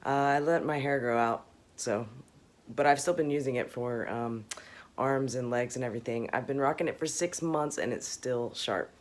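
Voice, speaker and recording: A man's voice: medium pitch, normal speed. Chill guy from texas, middle voice, chatty. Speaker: customer giving a testimonial. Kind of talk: casual video testimonial.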